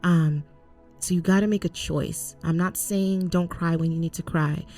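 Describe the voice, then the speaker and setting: A young woman from Cameroon, raised in Canada, talks earnestly on a faith podcast, with the emphatic lift of someone preaching. Her voice sits a little low. Faint music plays behind her. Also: fairly even intonation, normal speed, warm tone. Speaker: co-host. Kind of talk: casual faith podcast chat.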